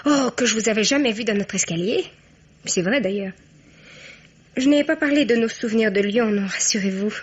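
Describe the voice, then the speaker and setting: A young woman blackmailer in a radio crime play. Her voice is fairly high, chatty and full of sly, mock-innocent taunts. The sound is dull and band-limited. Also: moderate pace, husky. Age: young adult. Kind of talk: French radio crime drama acting.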